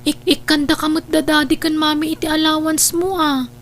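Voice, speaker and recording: Lady playing a high-pitched nagging young sister, earnest and worried, radio drama, bit of hum. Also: flat delivery, normal speed, a bit bright. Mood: reproachful. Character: dutiful, worried young student, nagging her brother. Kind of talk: Ilocano radio drama acting.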